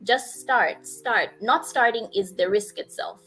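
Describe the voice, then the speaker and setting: A woman's voice: a little high, measured pace, fairly bright tone, faint music behind, low-quality recording. A woman co-hosting a talk show, speaking earnestly and thoughtfully with frequent hesitations. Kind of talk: casual talk show chat.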